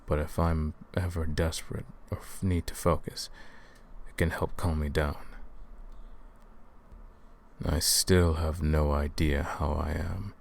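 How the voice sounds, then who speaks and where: A man performs a centuries-old male vampire turned hunter, weighed down by guilt yet driven. The voice sits low and moves slowly, hushed and somber, with halting pauses. It is an intimate ASMR roleplay monologue. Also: flat delivery, gravelly, heavily acted. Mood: somber, weary.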